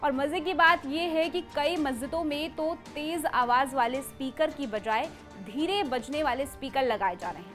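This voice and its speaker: A woman's voice: high-pitched, normal speed, few pauses, a bit bright, soft background music. A woman presenting scripted news in an emphatic, dramatic way. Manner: emphatic, dramatic delivery with rhetorical questions.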